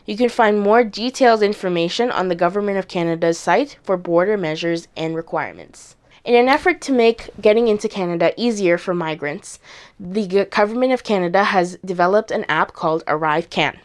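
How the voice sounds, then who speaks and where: A woman reading a scripted informational segment in an even, measured way. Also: medium pitch. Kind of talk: scripted narration.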